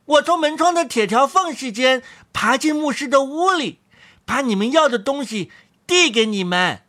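A woman voicing a tiny, bold, prankish boy in a storybook reading, teasing and shouting in a high voice. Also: lively intonation, normal speed. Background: soft music.

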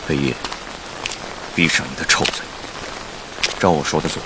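An actor playing a cool, curt, principled business heir in a radio drama. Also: male voice, a bass voice, normal speed, background noise.

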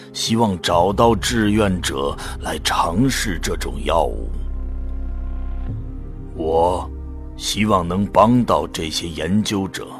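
A man playing a somber, resolute volunteer who narrates solemnly and deliberately in a science fiction audio drama. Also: sits low. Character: somber, resolute male volunteer narrating humanity's last refuge. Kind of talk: scripted dramatic first-person narration.

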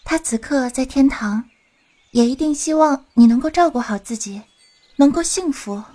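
A woman's voice: sits high, animated, normal speed, mellow. A woman playing a young adventurer heroine in a Chinese audio drama, tough on the outside and tender underneath. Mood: gentle, consoling.

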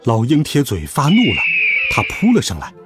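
A man narrating a children's story in an expressive, scripted storytelling style. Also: mid-range voice, moderate pace. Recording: music behind the voice. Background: music.

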